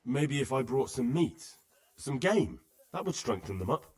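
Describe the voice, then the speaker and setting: An actor playing an earnest, polite young blacksmith who is eager to help, somewhat tentative, in a video game drama. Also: male voice, mid-range voice, very animated, measured pace. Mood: concerned, helpful.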